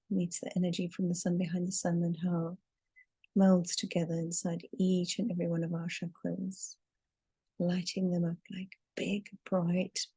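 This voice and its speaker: A woman leading a guided meditation in a soothing, deliberate way, drawing out her words as she narrates. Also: fairly low pitch, dark tone, slightly rough, no room sound. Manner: soothing, deliberate, with long drawn-out counting.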